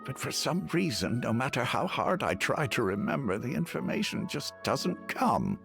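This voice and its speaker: An actor voicing a humble, soft-spoken elderly village chief in a video game who is losing his eyesight. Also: medium pitch, wildly swooping pitch, normal speed, vocal fry. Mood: kindly. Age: older. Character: elderly half-blind male village chief, gentle and humble. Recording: faint game music behind.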